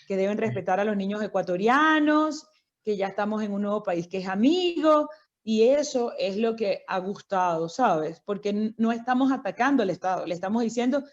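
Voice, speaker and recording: A Venezuelan woman being interviewed, telling her story in a passionate, animated way. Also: a little high. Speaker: guest, founder of a migrant children's group. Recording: dull lo-fi sound.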